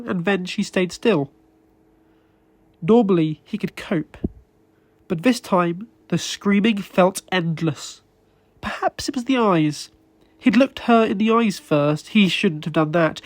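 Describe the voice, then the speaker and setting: A man narrates a short story in a dramatic audiobook style. His delivery is deliberate and literary, with feeling and weighted pauses. The recording is dry, with little sense of a room around the voice. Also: high-pitched, no room sound.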